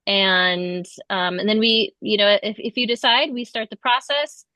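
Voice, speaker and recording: Female webinar host, bright clear-edged tone, casual halting delivery, dry close sound. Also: mid-range voice, steady tone, dry recording. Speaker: trip host. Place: online video call.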